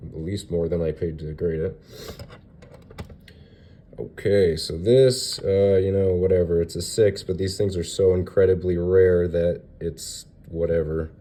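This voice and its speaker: A young man with a stuffy, nasal voice from a recent cold, chatting loosely through a card unboxing with many pauses and fillers. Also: sits low, warm tone, a little hiss or hum. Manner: rambling, hesitant, lots of fillers. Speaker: card collector showing graded cards. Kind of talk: casual unboxing vlog.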